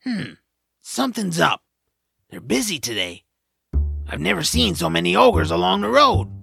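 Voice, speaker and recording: Man acting a whiny, creaky-voiced reluctant young hero. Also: high-pitched, big pitch swings, normal speed, pushed and bright, dry recording, soft background music, full character voice. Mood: conspiratorial. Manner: complaining, exasperated. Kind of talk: audio drama acting.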